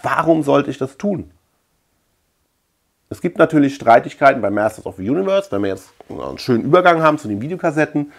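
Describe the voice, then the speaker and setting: Middle-aged man chatting casually, medium pitch. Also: expressive, fairly quick, gravelly. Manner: colloquial, chatty, thinking out loud. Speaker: collector and video channel host. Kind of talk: casual rambling chat to camera. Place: small indoor room lined with shelves.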